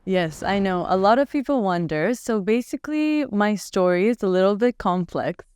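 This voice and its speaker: A woman's voice: medium pitch, lively intonation, normal speed, a little mellow, clean tone. A woman in her twenties, raised in Canada after a childhood in Bulgaria, telling her story as a guest in a casual interview. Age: 20s. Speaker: guest, pageant winner.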